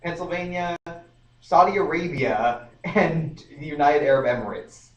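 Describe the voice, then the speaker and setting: A man's voice: fairly high pitch, distant mic. Young man chatting, dull roomy sound. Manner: quick, informal, says "like" often. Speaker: podcast co-host. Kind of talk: casual discussion. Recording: dull, roomy live stream audio from afar.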